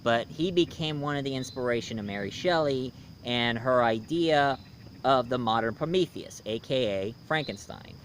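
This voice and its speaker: A man hosting a casual, unscripted review, talking in a halting, rambling storyteller's way. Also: mid-range voice, lively intonation, fairly bright tone. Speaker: host, film and book reviewer. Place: outdoors. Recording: noisy outdoor recording with insects.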